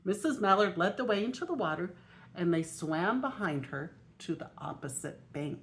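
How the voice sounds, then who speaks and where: An older woman, a grandmother, reading a children's picture book aloud in an expressive way. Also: lowish voice, normal speed. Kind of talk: storybook read-aloud.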